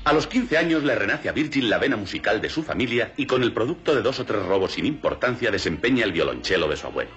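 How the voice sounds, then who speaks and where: An actor playing a solemn, mock-grave documentary narrator in a film comedy. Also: male voice, lowish voice, very animated, fast, few pauses, fairly bright tone, highs cut off, faint music behind.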